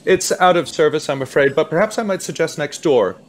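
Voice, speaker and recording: An actor playing a pretentious, fussy steakhouse waiter in a comic radio play, with a florid, easily flustered way of talking. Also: male voice, a little high, brisk, natural voice. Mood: apologetic, flustered. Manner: pompous, florid, stammering. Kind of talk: radio play comedy acting.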